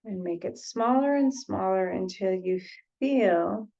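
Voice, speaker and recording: Female meditation teacher, very unhurried, gentle and pausing, on slightly muffled lo-fi audio. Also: medium pitch, gravelly. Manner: gentle, pausing, repeats phrases, trailing off. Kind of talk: guided meditation, unscripted.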